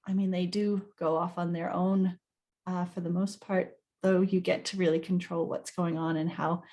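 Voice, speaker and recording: A woman in her thirties, from New Zealand, talks about her writing as an interview guest. Her delivery is animated, rising and speeding up in quick bursts. She sounds like she is on a video call. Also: on the low side. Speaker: guest author. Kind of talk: conversational interview.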